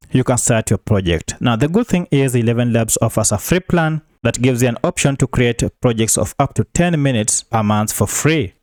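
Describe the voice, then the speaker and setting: Man, tutorial presenter, mid-pitched voice, step-by-step screencast narration, plain instructional delivery, dry close mic. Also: darkish tone, no echo.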